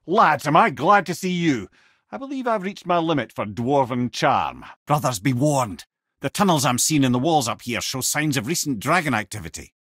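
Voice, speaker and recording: A Scottish actor voicing a witty, talkative old male sage in a video game, with a husky voice and a wry storyteller's manner. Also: mid-range voice, lively intonation, moderate pace, fairly bright tone, dry recording, studio quality. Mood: amused, wry, then urgent warning.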